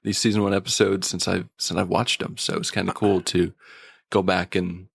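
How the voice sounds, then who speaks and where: A man with a creaky voice, casually reviewing a TV episode as a podcast co-host. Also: deep voice, flat delivery, normal speed, dry recording, studio quality.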